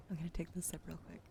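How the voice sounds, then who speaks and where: Whispering young woman with a creaky voice. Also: fairly low pitch, noisy background. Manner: soft whispered, thanking viewers, swearing casually. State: wheezy throat. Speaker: streamer. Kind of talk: ASMR whispering chat.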